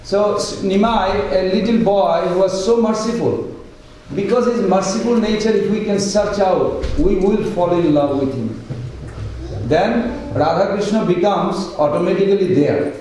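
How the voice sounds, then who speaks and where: Guy giving a devotional talk, loud voice, slow and earnest, far off mic with lots of echo and faint hiss. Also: high-pitched. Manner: earnest, unhurried, with pauses and broken sentences. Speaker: speaker at a devotional study group. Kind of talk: devotional lecture.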